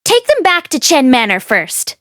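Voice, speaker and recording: A stern male master of a fantasy audiobook, voiced by a woman. He is aloof and indifferent, delivering his words flatly and dismissively. The sound is dry and close. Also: high voice, moderate pace, no room sound, full character voice. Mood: flat, composed.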